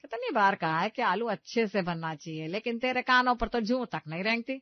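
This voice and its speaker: A critical, nagging older mother-in-law from a family story , put on by a woman. She scolds emphatically in a fairly high voice, recorded dry and slightly dull. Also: sing-song, a little fast, dry recording. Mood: scolding. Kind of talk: animated story dialogue.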